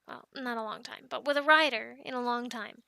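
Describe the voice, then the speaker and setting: A woman's voice: a little high, fairly quick, vocal fry, sharp tone, no room sound. A woman playing a friendly, encouraging dragon keeper in an ASMR roleplay, chatting playfully with the listener and cooing to animals like pets. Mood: calm, encouraging.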